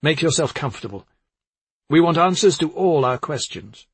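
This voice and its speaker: A man's voice: a little high, animated, normal speed, slightly rough, no room sound, pitched above the performer's own voice. A man voicing an angry, suspicious adult brother in an audiobook, blunt and confrontational. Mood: stern. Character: angry, suspicious twin brothers, grown men, demanding answers. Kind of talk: audiobook character dialogue.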